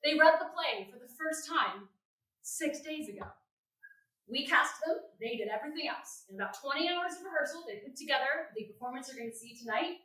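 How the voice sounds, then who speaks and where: A woman's voice: sits high, animated, fairly quick, sharp tone. An enthusiastic woman giving an emphatic live welcome speech as she introduces a stage show. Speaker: education programs manager introducing a show. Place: theatre stage before an audience. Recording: echoey theatre, distant microphone.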